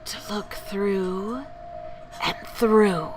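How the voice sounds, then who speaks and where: A woman's voice: medium pitch, fairly even intonation, noisy background. A woman voicing a strange menacing creature from a scary storybook, stretching out each word ominously. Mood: ominous threatening. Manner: very slow, drawn-out, ominous delivery. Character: strange menacing chimney creature with big claws and teeth.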